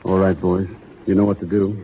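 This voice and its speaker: An actor playing a steady cowboy foreman who gives calm, clipped commands, in 1930s radio acting. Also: deep voice, fairly quick, close to an everyday voice. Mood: stern. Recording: tinny 1930s radio broadcast, faint hiss.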